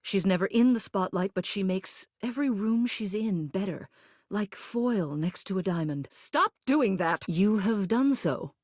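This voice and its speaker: A woman's voice: mid-range voice, lively intonation, unhurried, a little mellow, no echo. Genteel, commanding lady mayor, dry studio sound. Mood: indignant, stern. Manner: formal, authoritative, genteel, fond endearments. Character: dignified, protective woman mayor, an old living household object. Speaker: voice actor in a dating sim game. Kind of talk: polished video game character acting.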